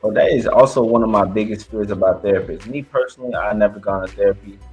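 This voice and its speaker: A man's voice: fairly low pitch, fast talker, a little mellow, slightly rough, faint music behind, low-quality recording. A man hosting a reaction show, commenting casually on a podcast clip in an emphatic, preachy way that repeats points. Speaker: reaction channel host.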